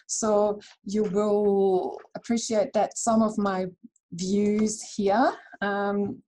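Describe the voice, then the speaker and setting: Mellow-voiced woman from Switzerland presenting. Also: medium pitch, poor audio. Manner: friendly, chatty with frequent fillers and restarts. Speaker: herbal dispensary founder presenting a webinar. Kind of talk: webinar presentation.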